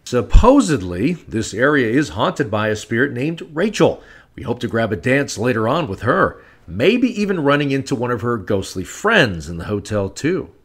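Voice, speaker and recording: A man's voice: mid-range voice, big pitch swings, normal speed, slightly rough. A man reading scripted narration for a paranormal investigation video. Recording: voice-over with background music.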